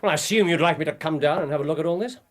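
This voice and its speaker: An actor playing a shrewd, exacting private detective in a radio drama, dry, probing and faintly sarcastic. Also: male voice, on the high side, racing, close to an everyday voice. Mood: wry, sarcastic.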